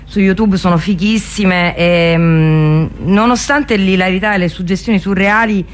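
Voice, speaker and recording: A woman with a Roman Italian accent interviewing informally on radio, emphatic and gushing, quick to talk over others. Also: mid-range voice. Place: radio studio.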